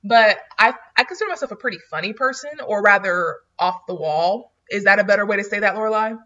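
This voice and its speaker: A woman's voice: mid-range voice, fast talker, a bit bright, lo-fi sound. A woman in her early twenties chatting casually as a podcast co-host, blunt and full of fillers and laughs. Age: 20s. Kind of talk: casual chat.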